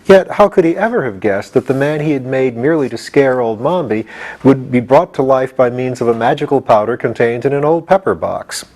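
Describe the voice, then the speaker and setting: A man's voice: mid-range voice, a little mellow. A man narrating a children's storybook as a scripted audiobook reading. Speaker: audiobook reader.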